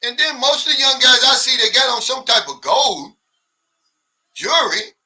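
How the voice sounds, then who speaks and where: A blunt, animated man in his sixties chats on a livestream, reading out viewer comments and answering them. His voice is high and very bright, a little off the mic. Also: moderate pace, distant mic. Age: 60s. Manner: blunt, animated, mimics others' complaints. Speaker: livestream host.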